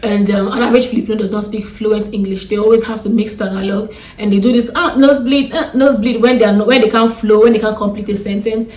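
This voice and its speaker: Fast-talking Nigerian woman, blunt and fed up. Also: medium pitch, fairly even intonation, darkish tone, reverberant. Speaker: vlogger. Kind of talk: ranting vlog to camera.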